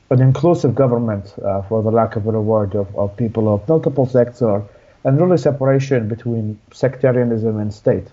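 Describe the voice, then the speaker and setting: A young man from Iraq, an interview guest telling his life story in a halting way with many restarts. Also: lowish voice, lo-fi sound.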